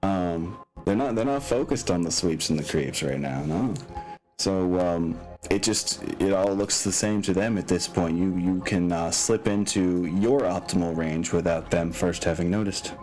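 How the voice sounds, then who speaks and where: A man with a low voice works as game master of a tabletop game. He talks casually through rules and scenes, rambling a little as he thinks out loud, with music softly audible behind him. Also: unhurried.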